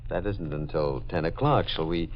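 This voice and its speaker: A man's voice: low voice, normal speed, slight background noise, lightly acted. An actor playing an ardent, urgent male lawyer courting a woman in a 1940s radio drama. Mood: polite, concerned. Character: Richard, ardent, urgent young lawyer suitor. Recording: dull old radio recording, music behind.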